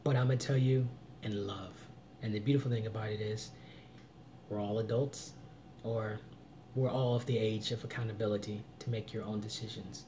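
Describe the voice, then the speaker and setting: A man's voice: steady tone, moderate pace, noisy background. Earnest man in his thirties giving advice. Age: 30s. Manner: earnest, preachy, swings between loud emphasis and low murmurs. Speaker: vlogger. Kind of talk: live-stream advice chat.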